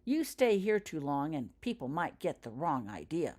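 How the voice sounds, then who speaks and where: A woman's voice: low-pitched, big pitch swings, normal speed, darkish tone. A woman reading a slick schoolboy bully in a storybook, cheerful on the surface with veiled menace.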